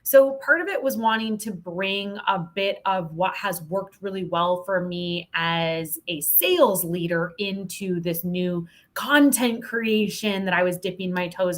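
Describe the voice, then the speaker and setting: An American woman chatting as an interview guest, candid and playful, with emphatic phrasing. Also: mid-range voice. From Montana, United States. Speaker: podcast guest, sales professional. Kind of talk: casual interview chat.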